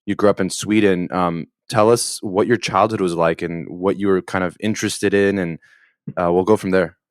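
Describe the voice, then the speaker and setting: Low-voiced male co-host, dry sound. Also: fairly even intonation, moderate pace, no room sound. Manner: loose, rambling questions with fillers. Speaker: podcast co-host. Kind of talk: interview questions.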